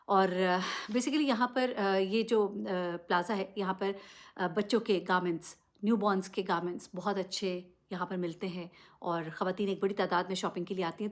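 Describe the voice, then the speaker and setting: Female vlogger, mid-pitched, for off-the-cuff news commentary; emphatic, direct, questioning delivery. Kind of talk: unscripted news commentary to camera.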